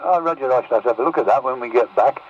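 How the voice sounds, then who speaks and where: A man's voice: mid-range voice, racing. A man, a radio operator, chatting casually over a CB radio transmission. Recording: muffled, very noisy CB radio.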